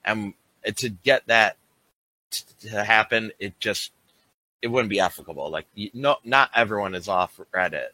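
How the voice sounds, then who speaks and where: A man's voice: lowish voice, normal speed, pushed and bright, no room sound, rough recording. A man talking in a rambling, filler-heavy way in a casual group voice chat, swearing now and then. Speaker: Reddit moderator in a group voice chat.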